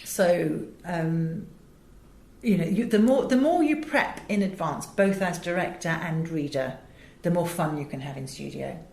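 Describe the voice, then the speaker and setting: Englishwoman with a lowish voice, echoey room. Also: unhurried, vocal fry, darkish tone. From England. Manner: articulate, illustrates points with acted examples. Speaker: voice director and audiobook producer. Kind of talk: interview talk, explaining her craft. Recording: roomy, echoey sound.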